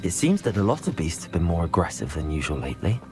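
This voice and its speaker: Fantasy game hero: young wizard, animated delivery, earnest, curious, polite, music behind. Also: male voice, deep voice, fast talker. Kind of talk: video game cinematic acting.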